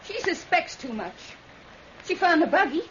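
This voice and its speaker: An actress playing a sharp, nervous, scheming rural American woman in an old-time radio drama. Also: very high voice, sing-song, moderate pace, exaggerated voice. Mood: anxious, scheming. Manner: edgy, folksy country speech. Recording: muffled vintage radio recording, faint hiss.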